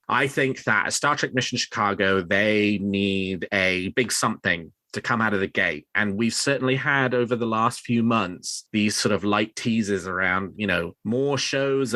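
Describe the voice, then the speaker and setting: A man's voice: mid-range voice, bright, forceful tone, no room sound. A man hosting a fan news podcast, talking at length in wordy, discursive sentences. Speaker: podcast host.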